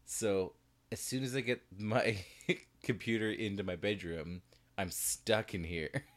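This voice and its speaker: A man's voice: fairly low pitch, steady tone, measured pace. A man co-hosting a podcast, chatting casually and animatedly, laughing often as he talks, recording in a small bedroom. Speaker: podcast co-host. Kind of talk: casual chat about a hobby. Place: small bedroom with the door shut.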